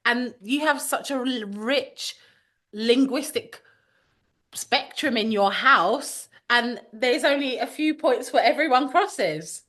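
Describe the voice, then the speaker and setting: A woman co-hosting a podcast, asking questions and reacting enthusiastically with drawn-out exclamations. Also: on the high side, fairly bright tone. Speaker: podcast co-host. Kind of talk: casual chat, interviewing.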